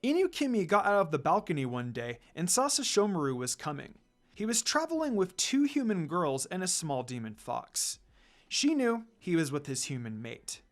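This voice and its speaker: A narrator reads a fantasy fan story aloud. The delivery is dramatic, with a medium pitch that moves up and down a great deal. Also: sing-song, normal speed.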